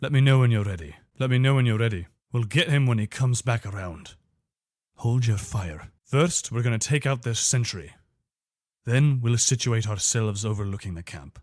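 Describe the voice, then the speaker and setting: A man's voice: mid-range voice, expressive, normal speed, a little mellow, no room sound, studio quality, close to an everyday voice. A man playing a loyal, wary soldier of an imperial legion in a video game, speaking in an earnest, soldierly manner. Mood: focused. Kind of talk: video game character voice lines.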